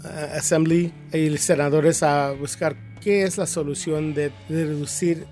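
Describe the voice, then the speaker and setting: A man from Kansas with an American accent, a teachers' union representative answering interview questions in halting second-language Spanish, searching for words. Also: fairly high pitch, fairly even intonation, darkish tone, faint music behind. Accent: American English. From Kansas, USA. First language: English.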